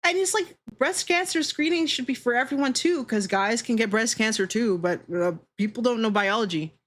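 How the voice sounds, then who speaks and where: A woman livestreamer talking casually to her viewers in an animated, opinionated, sweary way. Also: fairly high pitch, moderate pace. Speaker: livestreamer hosting a Q&A.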